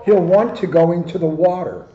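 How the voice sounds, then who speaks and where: Older man, high voice, muffled recording. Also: normal speed, noisy background. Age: 60s. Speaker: police officer reading a children's book. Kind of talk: picture book read-aloud with joking asides. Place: office, indoors. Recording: dull, lo-fi camera microphone.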